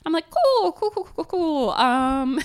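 A woman's voice: sits high, sing-song, slow pace. A woman with a nasal voice, co-hosting a podcast and telling a story in casual chat, laughing often. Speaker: podcast co-host.

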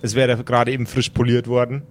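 A man's voice: medium pitch, monotone, fairly quick, a little hiss or hum. A man narrating an improvised tabletop adventure as game master.

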